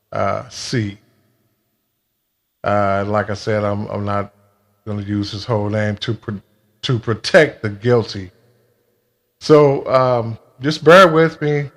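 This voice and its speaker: A man speaking in a casual monologue, with a halting, blunt and emphatic way of talking. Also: fairly low pitch. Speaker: video creator telling a personal grievance.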